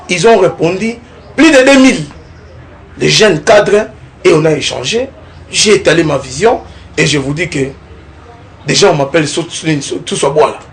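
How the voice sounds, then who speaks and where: Animated young Congolese man, lilting pitch. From Kongo Central, DR Congo. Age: young adult. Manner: animated, keeps adding "n'est-ce pas?", rising tone on points. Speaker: political candidate, guest. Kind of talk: TV interview.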